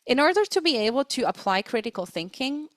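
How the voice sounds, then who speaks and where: Woman presenting, deliberate, dry close sound. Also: sits high, very animated, no room sound. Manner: deliberate, stresses key words. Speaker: webinar presenter, interpreting trainer. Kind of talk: explanatory webinar lecture.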